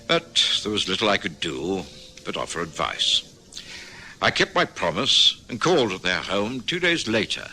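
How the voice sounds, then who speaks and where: Calm British doctor-narrator, measured, animated pitch, radio play. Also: male voice, slight background noise, full character voice. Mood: reflective. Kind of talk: 1950s radio drama acting.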